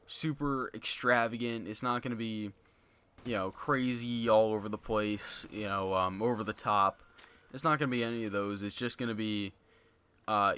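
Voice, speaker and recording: A young man talking casually to his viewers in a flat, monotone voice, rambling with lots of fillers into a basic home microphone. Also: on the low side, clean tone. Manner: rambling, filler-heavy, many "you know"s. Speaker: gaming YouTuber. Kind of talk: casual unscripted commentary to viewers. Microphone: basic low-end home mic.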